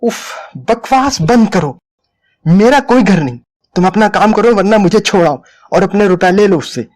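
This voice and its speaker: A man voicing an exhausted, bitter woman in a dramatic story reading, curt, sharp and irritable. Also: sits high, lively intonation, moderate pace, voice pushed up. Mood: furious, weary.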